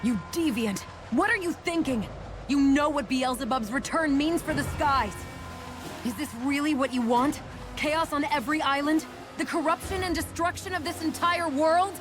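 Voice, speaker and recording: A righteous female warrior played by a woman with a high voice. She speaks sternly in dramatic game style, music clearly behind her. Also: moderate pace, lightly acted. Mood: outraged. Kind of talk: dramatic video game dub acting.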